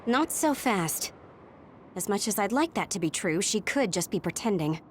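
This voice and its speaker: A woman's voice: medium pitch, lively intonation, moderate pace, slight background noise, close to an everyday voice. A woman playing a blunt, skeptical female soldier in a video game, with a dry manner. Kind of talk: English video game voice acting.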